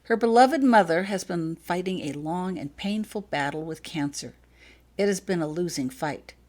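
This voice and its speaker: A woman voicing a calm, earnest man in an audiobook story, measured and gently apologetic as he explains. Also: fairly low pitch. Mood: grave, factual.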